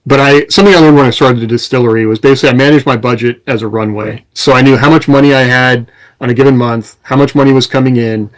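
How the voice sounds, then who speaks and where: Guy co-hosting a podcast, mid pitched voice, just chatting and explaining stuff, kinda rambly. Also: steady tone, fast, rough recording. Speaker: podcast co-host and self-employed writer. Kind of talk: casual podcast chat.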